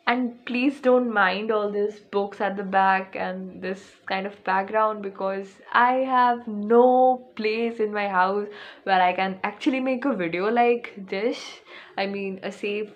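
A woman's voice: medium pitch, slow, dull audio. A teenage girl with an Indian English accent chatting into her phone camera for her first vlog, rambling with lots of fillers and restarts, indoors in a small room at home. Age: teens. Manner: rambling, chatty, with many fillers and self-corrections. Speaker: vlogger. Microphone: phone camera held close.

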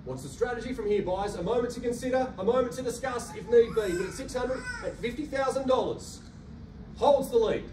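A man's voice: very high voice, expressive, dark tone. Australian male auctioneer, rhythmic patter, roomy echo, far from the mic. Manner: rhythmic rapid-fire bid calling, cajoling buyers. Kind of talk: live auction calling. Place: carpeted function room indoors. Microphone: handheld mic, filmed by a far camera. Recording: roomy echo from a distant camera, faint hum.

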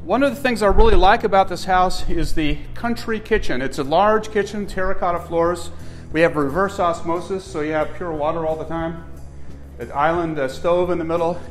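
Older man, fairly high voice, eager homeowner showing rooms, faint music under. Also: moderate pace. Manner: chatty, enthusiastic, frequent restarts. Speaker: homeowner giving a house tour. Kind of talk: casual walking tour narration. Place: inside a house, moving room to room. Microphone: camera mic, filmed walking behind him.

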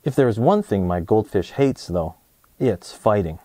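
A man in his thirties presenting and reading a children's storybook aloud in an expressive way, speaking to young listeners. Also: on the low side, normal speed, no room sound. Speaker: host of a children's read-aloud show.

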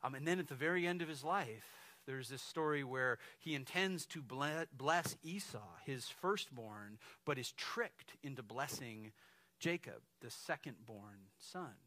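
A man in his forties preaches from a church stage, talking to the room like he's chatting with friends and tossing in "right?" again and again. His voice is bright and forward, and the sound is close and dry, with almost no echo. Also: medium pitch, measured pace. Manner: animated, conversational preaching with frequent "right?" asides and gestures. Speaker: lead pastor. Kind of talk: sermon. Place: stage of a large dark church hall, indoors.

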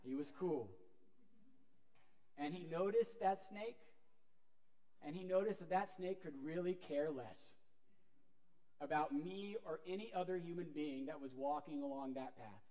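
A middle-aged man preaching a sermon as a pastor, telling an animated story while moving about a church sanctuary. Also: a little high, measured pace, long pauses. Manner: animated storytelling with wide gestures, walking about.

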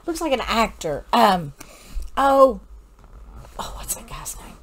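Middle-aged female co-host, excitable and exclamatory, very lively pitch, casual reaction commentary. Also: slow pace. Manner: animated, exclamatory, blurting reactions. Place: small living room, on a couch.

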